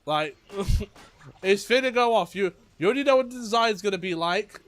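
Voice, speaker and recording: Chatty young man with a slightly high voice. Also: animated, normal speed, fairly bright tone. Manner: rambling, chatty and easily amused, trailing off mid-thought. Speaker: gamer playing a video game. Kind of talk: casual gameplay commentary.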